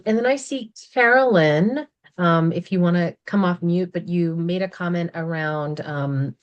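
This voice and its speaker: A woman moderating a video call, calm and gentle, with muffled call audio. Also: low-pitched.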